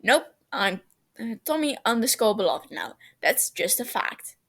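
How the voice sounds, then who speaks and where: A woman reading a story as a brash, boastful and excitable teenage boy, loud, dramatic and quick to get defensive. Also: a little high, gravelly, heavily acted. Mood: teasing.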